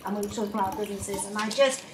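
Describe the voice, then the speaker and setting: A woman in the audience asking a question, chatty and quick to laugh. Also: medium pitch, steady tone. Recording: distant in an echoey hall with noise.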